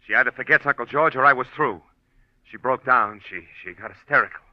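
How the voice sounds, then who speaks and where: A man's voice: medium pitch, no room sound, natural voice. Man playing defensive American husband, muffled old radio. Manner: halting, indignant self-justification. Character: evasive, defensive husband suspected of murdering his wife. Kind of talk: 1940s radio drama acting.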